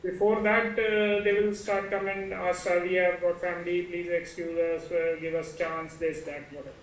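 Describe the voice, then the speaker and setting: A man speaking as a student, putting a question to his teacher in a halting way, searching for words. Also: sits high, flat delivery. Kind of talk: hesitant class question. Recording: distant, echoey, noisy remote microphone.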